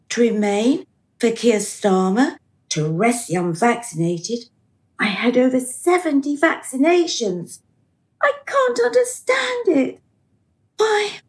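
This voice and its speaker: A woman playing a dutiful, unhappy dead woman in a radio play, plaintive, earnest and eager to please. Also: high voice.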